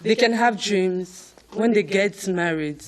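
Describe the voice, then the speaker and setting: A woman with a Congolese accent speaking earnestly and haltingly as a guest in a discussion. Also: mid-range voice, a crowd in the background. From eastern Democratic Republic of Congo. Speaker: panel guest.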